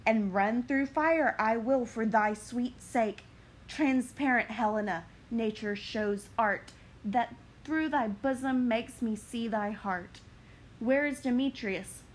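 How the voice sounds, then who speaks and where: A woman voicing an ardent young man in love, reading verse in a slow, earnest way. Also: fairly high pitch, low-quality recording. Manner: earnest, measured verse delivery. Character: ardent young man, lovestruck and smooth-talking.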